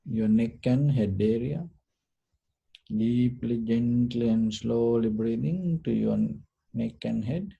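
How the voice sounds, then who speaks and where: A man leading a guided meditation, giving short, deliberate instructions in a gentle, soothing manner. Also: mid-range voice, vocal fry, slightly rough, lo-fi sound. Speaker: meditation teacher.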